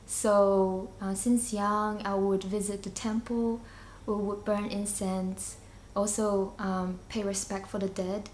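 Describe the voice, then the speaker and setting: A young adult woman who grew up in China and Singapore, giving a personal testimony in a hesitant, reflective way with frequent pauses. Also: medium pitch, flat delivery, a little mellow. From China, moved to Singapore at nine. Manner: hesitant, reflective, pausing often mid-sentence. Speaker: church member giving a testimony. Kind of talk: personal testimony, unscripted monologue. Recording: echoey room, faint background noise.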